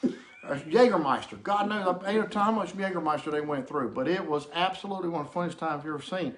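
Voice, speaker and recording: High-voiced man spinning a rambling folksy yarn. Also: quick pace, a bit bright. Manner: rambling, folksy, repeats himself. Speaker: storyteller. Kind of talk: casual comic anecdote to camera.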